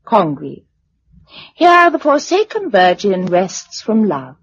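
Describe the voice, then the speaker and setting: Husky, wry British young woman. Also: mid-range voice, huge pitch swings, slow pace, exaggerated voice. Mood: wry, amused. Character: spirited, wry young female novelist surviving a catastrophe. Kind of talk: British radio drama acting. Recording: dull lo-fi radio recording.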